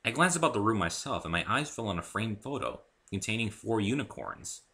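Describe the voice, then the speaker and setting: A man narrates fiction in an amateur dramatic reading. His voice sits a little low but has a very bright, forceful edge, and his intonation moves around in a lively way. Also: brisk. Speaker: amateur reader of own fan fiction.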